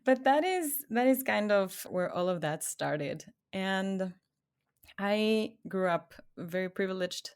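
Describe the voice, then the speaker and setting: A woman's voice: medium pitch, lively intonation, slow pace, smooth. A woman from Ecuador, co-hosting a podcast, telling her own story in a casual, chatty way and laughing often. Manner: chatty, laughs often, trails off quietly at phrase ends. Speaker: podcast co-host.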